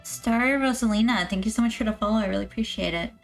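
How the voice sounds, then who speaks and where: A woman's voice: medium pitch, fast, gravelly, soft background music. A woman hosting a livestream, chatting casually with her viewers. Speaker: livestream host.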